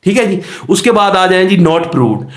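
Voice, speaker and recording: A man giving a calm law lecture, explaining step by step and repeating key terms. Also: sits high, brisk. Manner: explains step by step, mixing English legal terms into Urdu-style Hindi; calm, repeats key phrases and checks in with "theek hai ji". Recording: echoey room.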